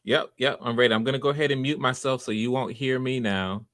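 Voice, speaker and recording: A man hosting a live audition stream in a casual, halting way, often repeating words as he thinks aloud. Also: mid-range voice, steady tone, fairly bright tone. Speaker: host running a live voice audition.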